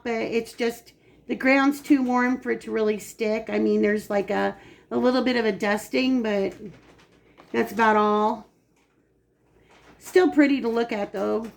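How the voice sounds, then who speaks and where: A woman hosting a home cooking video, her voice dry and raspy today, chatting casually as she explains each step. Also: a little high. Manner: chatty, explains steps while working. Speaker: cooking channel host. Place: home kitchen.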